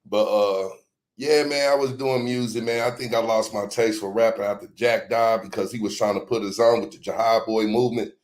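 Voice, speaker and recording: A man with a lowish voice talks through a casual interview as the guest. He keeps things relaxed and slangy, tagging "man" onto the end of many of his thoughts. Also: a little fast. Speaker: music promoter guest.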